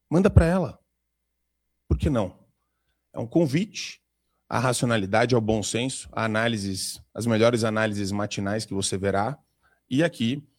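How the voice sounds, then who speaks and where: A man hosts a live political talk show, full of joking, sarcastic banter. His nose is stuffed up from a cold. His voice sits at an ordinary pitch for a man.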